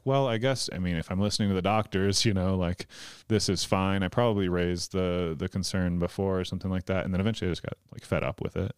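Male, 30s, podcast guest. Deep, casual, meandering delivery, dry room. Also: clear, resonant tone, no room sound. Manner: rambling, lots of filler words and restarts. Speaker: guest comedian.

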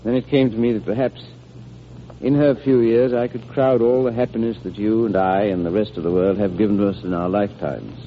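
A low, tender male voice of an actor as a grieving, devoted father in a golden-age radio play. He speaks earnestly, with halting pauses. The old recording is muffled and clearly hissy. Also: steady tone, close to an everyday voice. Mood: grave, halting. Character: devoted, sorrowful father shielding a dying child with fairy tales. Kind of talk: 1930s-40s American radio drama acting.

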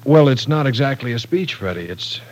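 An actor playing a polite, awkward professor in an old-time radio drama, speaking hesitantly and formally. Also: male voice, medium pitch, lively intonation, normal speed, darkish tone, close to an everyday voice. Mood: earnest, apologetic. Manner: hesitant, formal, precise wording. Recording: vintage radio broadcast.

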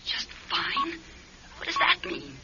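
A woman's voice: high voice, big pitch swings, slow, heavily acted. A woman playing a conscious but trapped patient in a radio drama, desperate, sardonic and intense. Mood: bitter, frantic. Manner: intense, sardonic inner monologue. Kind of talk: classic radio drama acting. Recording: muffled, noisy old radio recording.

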